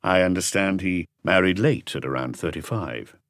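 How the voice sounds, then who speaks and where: A man voicing a calm police chief who asks short, probing questions in an audiobook mystery. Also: sits low, normal speed, no room sound, studio quality. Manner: terse, inquisitive.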